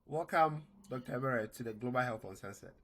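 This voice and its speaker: A man hosting a podcast, reading a prepared introduction and questions slowly and deliberately, with frequent fillers. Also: mid-range voice, expressive, fairly bright tone. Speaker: podcast host.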